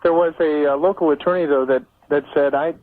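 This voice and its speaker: American guy phoning a radio show, kinda high voice, polite n hesitant, dry tinny phone sound. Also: fairly even intonation, fairly quick, dry recording. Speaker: caller. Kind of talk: casual phone-in chat.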